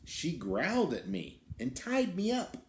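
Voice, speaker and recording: A man's voice: on the high side, huge pitch swings, measured pace, darkish tone. Man voicing an indignant, swooping little wild beast. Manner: theatrical, aggrieved storytelling. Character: indignant small wild tree-dwelling beast telling its tale. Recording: roomy echo, some background noise.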